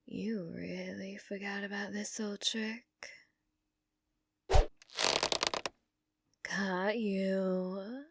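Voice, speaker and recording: A woman's voice: medium pitch, level tone, slow pace, gravelly, heavy background noise. A woman playing a dominant, possessive female bounty hunter in an ASMR roleplay, taunting and sultry, often dropping into whispers. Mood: smug, teasing.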